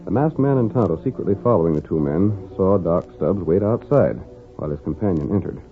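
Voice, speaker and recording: A man's voice: low voice, animated, fairly quick. Male old-time western narrator, sweeping dramatic delivery, thin vintage broadcast sound. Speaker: radio show announcer and narrator. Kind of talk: 1940s radio dramatic narration. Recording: tinny old radio recording with music.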